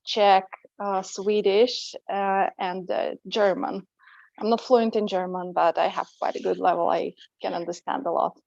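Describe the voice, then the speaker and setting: A woman's voice: mid-range voice, no room sound. Russian-native woman, dull dry sound. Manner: calm, matter-of-fact, with hesitant fillers. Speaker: language teacher and guest. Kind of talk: interview answers.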